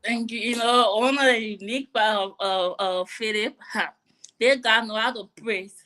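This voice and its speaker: A woman's voice: fairly high pitch, normal speed, sharp tone. A woman hosting a live online panel show, chatty and informal, often laughing as she brings in her guests. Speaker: host.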